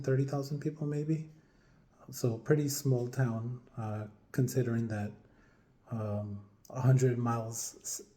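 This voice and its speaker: Guy in his 40s, talks slow with lots of ums, dark mellow voice. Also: mid-range voice. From upstate New York, US. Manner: hesitant, many ums and restarts, chuckles now and then. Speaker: vlogger. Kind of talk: casual personal storytelling to camera.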